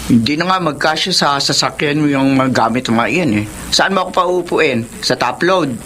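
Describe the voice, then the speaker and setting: A woman's voice: deep voice, lively intonation, moderate pace, fairly bright tone, a little hiss or hum. A woman playing a nagging, easily irritated older mother in a Filipino radio drama, scolding and sarcastic.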